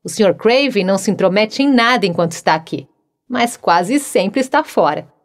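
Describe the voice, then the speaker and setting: A woman's voice: mid-range voice, lively intonation, moderate pace, lightly acted. A woman narrator voicing a plainspoken, good-humored young housemaid who talks frankly and cheerfully. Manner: frank, cheerful, matter-of-fact.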